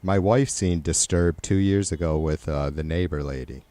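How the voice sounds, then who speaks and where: Low, nasal, raspy man chatting. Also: normal speed, warm tone, no echo. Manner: rambling, profane, loose. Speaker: livestream host. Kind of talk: casual chat with live viewers.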